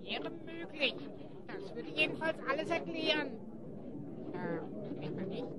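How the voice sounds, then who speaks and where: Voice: high voice, lively intonation, unhurried, a loud crowd. An actor voicing a cheeky little sidekick creature, pert and jokey, fond of sayings.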